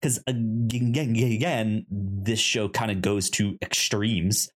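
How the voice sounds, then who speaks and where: Slow, halting man in casual discussion. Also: fairly low pitch, steady tone. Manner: halting, stuttering with repeated sounds and words. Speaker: podcast co-host. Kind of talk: casual chat, film discussion.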